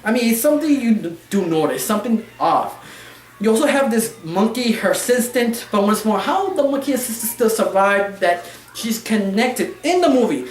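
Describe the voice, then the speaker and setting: A woman giving a casual, opinionated review, rambling and halting, with non-fluent English phrasing. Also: fairly high pitch, lively intonation, slight background noise. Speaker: cartoon reviewer. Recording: roomy, echoey sound.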